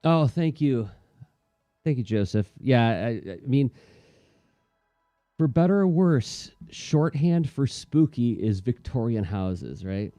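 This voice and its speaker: A man's voice: medium pitch, animated, slow pace, dark tone, no echo, soft background music. A man in casual, reflective chat, talking in a thoughtful, rambling, conversational way as an artist hosting a livestream.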